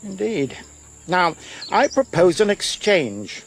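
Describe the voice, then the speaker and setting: Man acting high-voiced, animated gentleman doctor. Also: takes it slowly, gravelly, a little hiss or hum, heavily acted. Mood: firm negotiating. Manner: formal, precise, firm. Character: proper, resolute gentleman doctor of the eighteenth century. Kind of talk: dramatised audio adventure.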